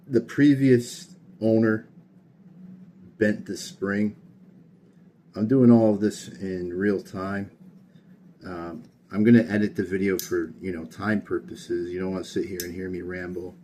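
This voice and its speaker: A tired man casually talking through a hands-on workshop build, monotone and rambling with plenty of fillers. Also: low voice, slight background noise. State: tired late at night. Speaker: hobbyist gunsmith. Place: home workshop.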